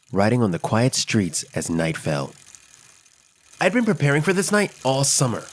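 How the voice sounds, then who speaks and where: A man's voice: mid-range voice, close to an everyday voice. A man playing an adventurous, kind child who narrates a scripted children's story expressively. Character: adventurous, imaginative, kind child telling a Halloween tale. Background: music.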